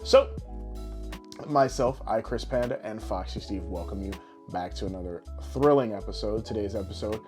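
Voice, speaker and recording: A man's voice: lowish voice, moderate pace. Boisterous man hosting over guitar music. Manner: boisterous, rambling, tongue-in-cheek showman patter. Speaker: podcast co-host. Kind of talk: casual, jokey podcast chat.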